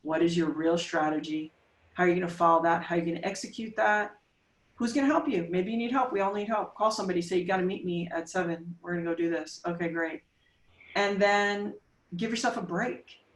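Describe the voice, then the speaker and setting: A woman in her forties, a podcast guest, talking casually with emphatic, coaching punch and quick rhetorical questions. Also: fairly low pitch, recorded from a distance. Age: 40s. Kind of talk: casual interview talk. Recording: roomy sound, voice off-mic.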